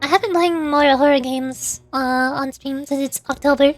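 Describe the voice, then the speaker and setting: A woman's voice: high voice, fairly even intonation, moderate pace, faint music behind. A woman livestreamer chatting casually with viewers, rambling and often repeating words as she forms her thoughts. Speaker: VTuber livestreamer.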